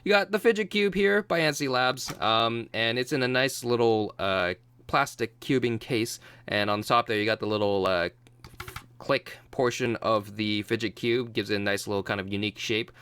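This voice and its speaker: A man's voice: mid-range voice, moderate pace, fairly bright tone, smooth. A young man with a cold, sounding nasal and congested, rambling casually through a product unboxing with many ums and restarts. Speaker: YouTube reviewer.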